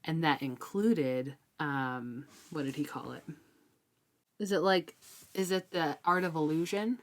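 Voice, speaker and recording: A woman's voice: sits low, a little slow. A woman co-hosting a book discussion, talking informally and conversationally as she thinks aloud. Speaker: book discussion podcast co-host. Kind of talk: casual book chat.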